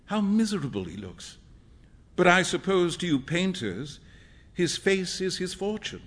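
A man's voice: sits high, sing-song, measured pace, fairly bright tone, big-room sound, pitched above the performer's own voice, heavily acted. A man voicing a kind-hearted, naive and impulsive young friend in a storybook, speaking earnestly and expressively. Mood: pitying. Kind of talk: acted storybook dialogue.

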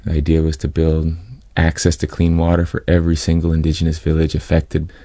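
A middle-aged American man from California giving a reflective, halting personal account as an interview guest. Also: extremely low pitch, monotone, warm tone, no echo, clean studio sound. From California, United States.

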